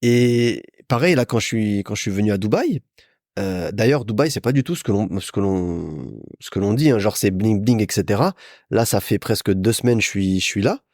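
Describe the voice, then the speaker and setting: Casting: young male podcast host from France, chatty and informal, animated intonation, dry studio-like sound. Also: on the low side, moderate pace, no echo. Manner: chatty, informal, addresses listener as a friend. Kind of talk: casual solo podcast monologue, storytelling.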